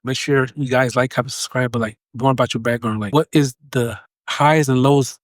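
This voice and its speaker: A man's voice: mid-range voice, steady tone, normal speed, dry recording, clean studio sound. A man hosting a casual interview, informal, with short acknowledgements and simple questions. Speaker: podcast host, interviewer.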